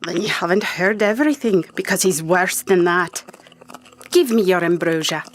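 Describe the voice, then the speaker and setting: A woman's voice: fairly low pitch, moderate pace, slight background noise, natural voice. A woman playing a brusque, commanding older magical mentor who scolds in an audio drama. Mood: brusque, scolding.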